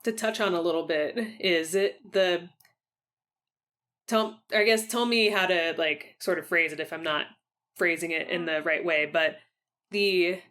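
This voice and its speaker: Bright, low-pitched woman interviewer, halting. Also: fairly even intonation. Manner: tentative, halting, rephrasing questions. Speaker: podcast host interviewer. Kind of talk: casual interview.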